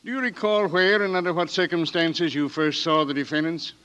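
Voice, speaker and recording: An actor playing a pompous, insistent prosecutor in a 1940s radio drama, with formal, oratorical speech. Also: male voice, fairly high pitch, steady tone, fairly quick, fairly bright tone, clear, resonant tone, muffled recording, close to an everyday voice. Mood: brisk questioning. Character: pompous, pressing male courtroom prosecutor.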